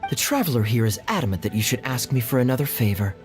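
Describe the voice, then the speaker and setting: An actor playing a suave, teasing, calculating male captain in a video game, with a wry, smooth-talking manner. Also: mid-range voice, sing-song, fast, close to an everyday voice. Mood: sly, persuasive. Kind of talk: video game character dialogue. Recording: music under the voice.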